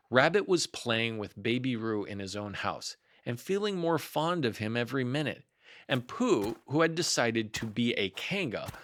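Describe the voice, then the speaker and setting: A man's voice: mid-range voice, a bit bright, slight background noise. A man narrating a children's story as a read-aloud storyteller.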